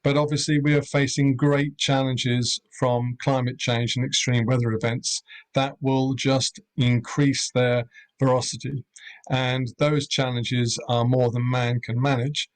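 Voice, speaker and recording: Hesitant man from Europe, measured talk. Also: mid-range voice, little pitch movement, rough recording. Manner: measured, hesitant, many fillers. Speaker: rural accommodation owner. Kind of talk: webinar panel talk.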